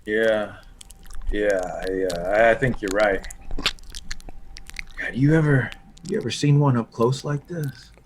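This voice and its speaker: A man's voice: lowish voice, slow, pauses often, a little hiss or hum. A man acting a stubborn, defensive survivor in a horror comic table read, insistent and argumentative. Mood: uneasy. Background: music under the voice.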